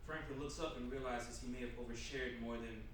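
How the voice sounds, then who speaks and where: A man's voice: medium pitch, steady tone, brisk. A man reading stage directions as the narrator of a staged screenplay reading. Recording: echoey room, distant mic, clear noise.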